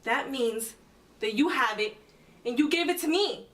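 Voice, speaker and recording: Betrayed girlfriend, off-mic, noisy recording, high sharp voice, accusatory, film drama. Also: lively intonation, measured pace. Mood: furious, accusing. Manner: forceful, accusatory. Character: furious, betrayed young woman girlfriend, hotly accusing. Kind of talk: heated film drama dialogue. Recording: distant mic with background noise.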